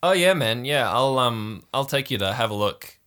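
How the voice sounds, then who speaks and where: Slacker camp boy, voiced by a man, tabletop game: easygoing, vague, self-styled king, bright edge to the voice. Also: medium pitch, animated, normal speed. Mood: casual. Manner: drawling, slangy, vague, full of likes and whatevers.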